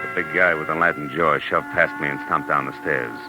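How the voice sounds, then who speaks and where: A man's voice: extremely low pitch, steady tone, fairly quick. An actor playing a tough, wry private detective who narrates his case tersely in 1940s radio drama style. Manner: terse, hard-boiled, sardonic. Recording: 1940s radio recording with music.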